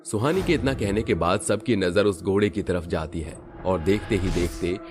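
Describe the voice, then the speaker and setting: A man narrating a cartoon horror story in steady storybook style. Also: deep voice, moderate pace, very noisy.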